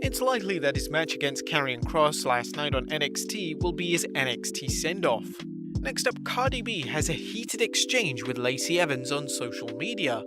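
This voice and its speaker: A man's voice: fairly high pitch, very animated, moderate pace. A man narrating scripted wrestling news with casual asides. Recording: voice-over with loud background music.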